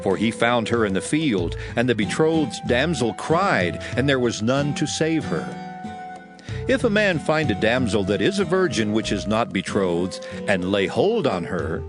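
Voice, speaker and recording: A man's voice: mid-range voice, very animated, measured pace. Dramatic, sweeping male scripture narrator over music. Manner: solemn, declamatory. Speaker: audio Bible narrator. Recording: music bed under voice.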